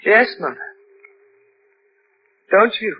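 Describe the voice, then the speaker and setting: An actress playing a haunted, earnest young daughter in dramatic 1940s radio style. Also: female voice, medium pitch, lively intonation, slow, soft background music. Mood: grave certainty. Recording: muffled, noisy vintage radio recording.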